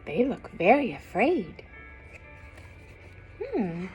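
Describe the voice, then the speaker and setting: A woman reading a children's storybook aloud expressively, pausing to explain simply and ask young listeners questions. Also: medium pitch, sing-song, darkish tone, soft background music.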